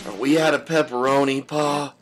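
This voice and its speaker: A man voicing a needy, hungry young son who plaintively pesters his father in a dark comic audio drama. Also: mid-range voice, steady tone, moderate pace, natural voice. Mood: anxious pleading. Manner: plaintive, repetitive questioning. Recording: music under the voice.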